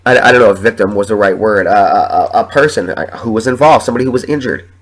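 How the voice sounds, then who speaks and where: A young man hosting his own podcast while sick with the flu, sniffling and talking in a halting, rambling, emotional way with plenty of swearing. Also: on the low side, slightly rough. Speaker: podcast host. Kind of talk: solo podcast monologue.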